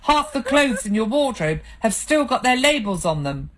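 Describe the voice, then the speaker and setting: A woman's voice: a little high, expressive, takes it slowly, a bit bright, pitched above the performer's own voice, exaggerated voice. A woman reading the part of a drunk, distraught husband who has just lost his job, bitter and defensive in a row with his wife. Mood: angry. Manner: bitter, defensive, raised voice in arguments.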